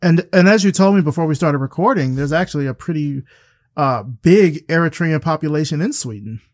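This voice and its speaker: A man's voice: fairly high pitch, lively intonation, fairly quick, no room sound. A man hosting a podcast, asking questions in a casual, conversational interviewing style.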